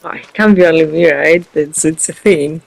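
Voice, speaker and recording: A woman's voice: sits low, moderate pace, creaky, low-quality recording. A woman doing informal let's play commentary, musing aloud expressively as she hosts a gaming video. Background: faint game music.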